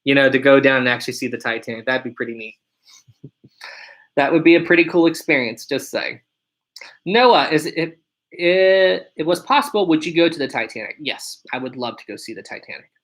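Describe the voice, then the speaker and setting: A young man with a deep voice hosting a casual live stream, reading viewer questions aloud and answering them one by one. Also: fairly quick, a bit bright.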